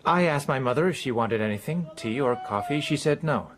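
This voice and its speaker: A man's voice: medium pitch, expressive, fairly quick, soft background music, lightly acted. Man playing guarded, hesitant son under questioning. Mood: guarded, uneasy. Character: subdued, guarded married son being questioned. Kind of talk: radio drama acting.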